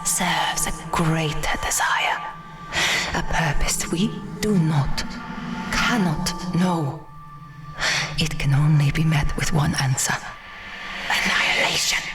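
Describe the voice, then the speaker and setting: Grave, breathy, imperious woman, slow and low. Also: steady tone. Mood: ominous, urgent. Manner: commanding, ominous, deliberate, often breathy. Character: grave, imperious woman warning of a consuming plague. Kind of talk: dramatic audio drama acting. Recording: dramatic music behind the voice. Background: music.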